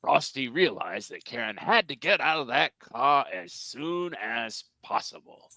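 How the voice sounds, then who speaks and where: Guy reading a kids story out loud, lively and gravelly, wry little asides, close dry sound. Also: mid-range voice, unhurried, dry recording. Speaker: narrator. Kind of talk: animated storybook narration at a live script reading.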